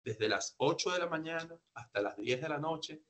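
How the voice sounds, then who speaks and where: A man's voice: on the high side, lively intonation, normal speed, a bit bright. A man working as a sales trainer, presenting informally and instructively, emphatic and conversational, often asking and answering his own questions. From grew up outside Peru, now lives there.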